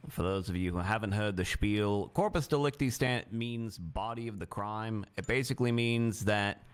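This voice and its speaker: A man's voice: on the low side, normal speed, clean tone. Man explaining a ruling, stop-and-start. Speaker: judge in a live roleplay courtroom. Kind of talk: improvised courtroom explanation.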